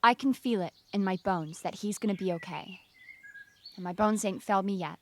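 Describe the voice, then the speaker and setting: A woman playing an outspoken, warm-hearted young American wartime worker in an audio drama, chatty and emphatic. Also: mid-range voice, moderate pace, slight background noise, lightly acted. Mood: warm, encouraging.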